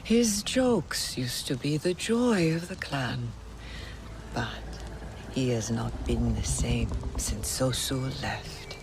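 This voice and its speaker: An actress playing a measured, formal alien clan member who shares gossip and lore in a video game cutscene. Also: female voice, a bass voice, background noise, heavily acted. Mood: solemn, wistful.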